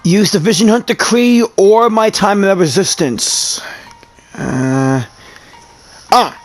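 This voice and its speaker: A woman's voice: medium pitch, slow, faint music behind. A woman talking in a casual, slangy way as she reads and reacts during a video game.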